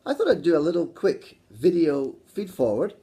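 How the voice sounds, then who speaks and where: A man's voice: a little high, sing-song, moderate pace, warm tone. A Scottish man teaching a casual video English lesson, joking and teasing his colleague as he talks. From Scotland. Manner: playful, teasing, jokey asides.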